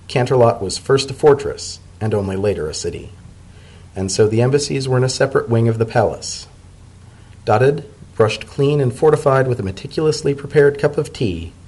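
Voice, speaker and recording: A man serves as narrator in a dramatic reading of a story. His voice sits fairly low, with a husky edge. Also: darkish tone.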